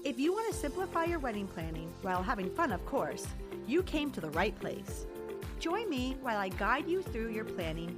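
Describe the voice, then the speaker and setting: A woman in her forties hosting a wedding podcast, chatty and enthusiastic, speaking close to the mic. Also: a little high. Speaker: podcast host and wedding photographer.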